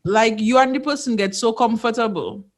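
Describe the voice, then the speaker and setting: Caribbean lady just chatting with friends, high voice, acts out what people said. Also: expressive, normal speed. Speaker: podcast panelist. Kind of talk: casual group chat.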